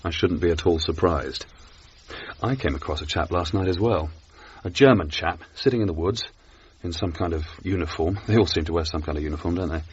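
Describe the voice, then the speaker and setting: An actor playing an earnest, polite 1930s British race walker, articulate and understated, in a radio drama. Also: male voice, deep voice, brisk, slightly rough. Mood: dry, musing. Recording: dull radio recording, faint background noise.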